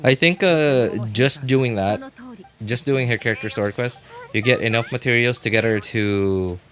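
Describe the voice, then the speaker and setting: A man doing casual live gameplay commentary as a streamer, chatting to viewers in a halting way and muttering to himself as he plays. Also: medium pitch, fairly bright tone, faint music behind. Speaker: game livestreamer.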